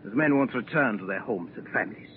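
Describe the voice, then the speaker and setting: An actor playing a condescending, coolly threatening Nazi occupation officer in a 1940s radio drama. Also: male voice, on the low side, expressive, fast, lightly acted. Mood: coolly menacing. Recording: tinny, noisy 1940s radio recording.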